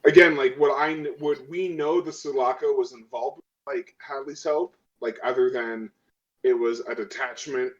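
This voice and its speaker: A man's voice: medium pitch, fairly even intonation, moderate pace. A man chatting casually as a player in a tabletop role-playing game. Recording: dull lo-fi audio.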